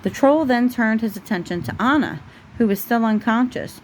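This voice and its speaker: A woman's voice: fairly high pitch, lively intonation, moderate pace, a little mellow, low-quality recording. A woman reading a children's storybook aloud at home, as a parent would at bedtime. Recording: faint background noise, home recording.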